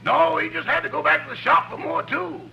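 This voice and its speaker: A man playing a wisecracking household servant in old radio comedy, with a gravelly voice and brash, wry delivery. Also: extremely high pitch, fairly even intonation, brisk, echoey room, lo-fi sound, exaggerated voice. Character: wisecracking male household servant answering his boss. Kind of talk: 1950s radio comedy acting. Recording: old noisy radio broadcast recording.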